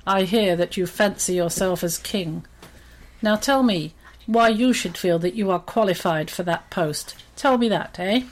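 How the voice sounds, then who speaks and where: A mighty storybook lion king, acted out by a woman. He is domineering and quick to rage. His delivery is blustering and imperious, with a sarcastic, menacing edge. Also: medium pitch, sing-song, breathy edge, low-quality recording.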